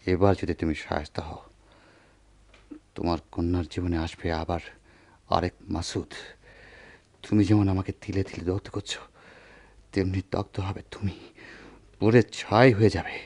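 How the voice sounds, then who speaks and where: A man playing an earnest, conscience-stricken village doctor in a radio drama, with measured, educated diction and a Bangladeshi accent. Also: low voice, flat delivery, vocal fry, a little mellow. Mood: bitter, anguished. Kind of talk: Bengali radio drama acting.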